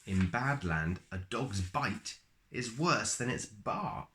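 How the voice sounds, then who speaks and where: Animated low-voiced man reading a children's story. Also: a little hiss or hum. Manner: expressive, dramatic pauses and drawn-out words. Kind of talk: animated children's picture-book reading with asides.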